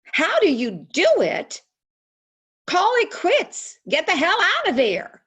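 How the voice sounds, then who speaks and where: An actress playing a frank, patriotic woman advice columnist in a theatrical monologue, confiding and dramatic with deliberate pauses. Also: very high-pitched, huge pitch swings, a bit bright, full character voice. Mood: wry, animated. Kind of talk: theatrical monologue, staged reading. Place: indoors, remote video call. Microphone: video call microphone.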